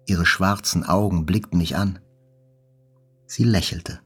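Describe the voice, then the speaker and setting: A man's voice: low-pitched, fairly even intonation, takes it slowly, a bit bright, slightly rough, full character voice. An actor playing a wounded journalist, narrating his hospital stay soberly and introspectively in an audio drama. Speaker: first-person narrator. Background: faint music.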